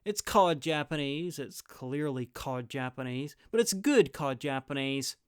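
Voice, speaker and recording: Clear, dry-sounding male reviewer. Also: medium pitch, lively intonation, measured pace, no room sound. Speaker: reviewer and guide host. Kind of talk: scripted review narration with audio clips.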